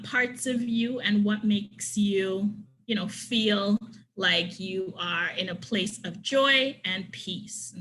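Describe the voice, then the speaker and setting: Bright-voiced woman from Trinidad presenting. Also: medium pitch, rough recording. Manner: earnest, reads out participants' comments and answers each one warmly. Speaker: conference presenter. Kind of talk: online workshop talk.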